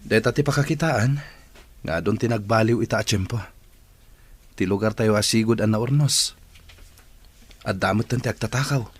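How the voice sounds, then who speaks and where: A man's voice: fairly low pitch, normal speed, long pauses, natural voice. Man acting a concerned, earnest town marshal. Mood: worried. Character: male town marshal, plain-spoken, pious, concerned. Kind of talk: Ilocano radio drama acting.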